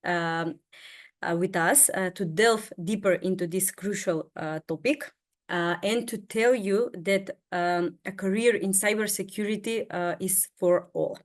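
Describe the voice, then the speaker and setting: Female sales rep, mid-pitched, steady delivery with frequent fillers. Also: unhurried. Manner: steady, with many filler sounds. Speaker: sales specialist. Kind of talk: webinar introduction.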